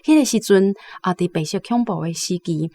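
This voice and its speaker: A woman's voice: fairly low pitch, lively intonation, a little fast, dark tone. A woman with a Taiwanese accent, hosting and retelling a story conversationally, often ending phrases with tag particles. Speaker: host.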